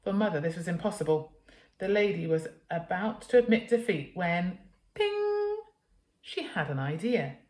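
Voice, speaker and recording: A woman's voice: lowish voice, sing-song, moderate pace, darkish tone. British woman reading a storybook, echoey room. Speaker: teacher reading a story to pupils. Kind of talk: expressive children's storybook reading.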